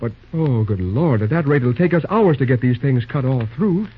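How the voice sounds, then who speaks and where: An actor playing an eager, impulsive young nephew with boyish exclamations in an old-time radio drama. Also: male voice, mid-range voice, expressive, normal speed, husky. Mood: amazed, grumbling. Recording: tinny old radio recording.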